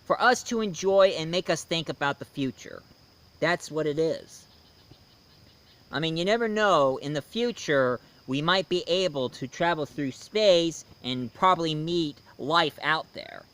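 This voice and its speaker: Male reviewer-host, smooth clean tone, slightly high register, opinionated and conversational, talking straight to the audience. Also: lively intonation, unhurried, fairly bright tone. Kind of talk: casual rambling film review commentary.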